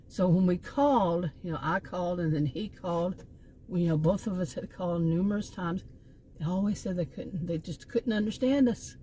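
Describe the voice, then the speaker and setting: A woman's voice: fairly low pitch, mellow, a little hiss or hum, rough recording. A middle-aged woman from Texas with a Texan accent, telling a rambling, emphatic story about a bad experience in casual vlog style, speaking from a car. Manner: exasperated, emphatic, rambling with asides. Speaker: vlogger. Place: in a car by a park.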